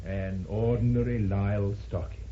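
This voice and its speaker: A man's voice: lowish voice, steady tone, normal speed, close to an everyday voice. Man acting a stern, probing prosecutor, echoey old radio. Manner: formal, probing, insistent. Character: stern prosecuting barrister, methodical, relentless, accusing. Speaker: actor. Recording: muffled, noisy, echoey old radio recording.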